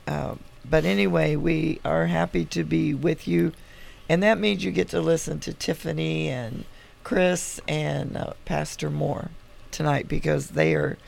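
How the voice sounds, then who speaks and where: A woman's voice: sits low, steady tone, a little slow, a little mellow. A woman hosting a faith podcast with a congested voice, laughing often and turning earnest about belief. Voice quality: gravelly, congested. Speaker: podcast host. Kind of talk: casual podcast chat.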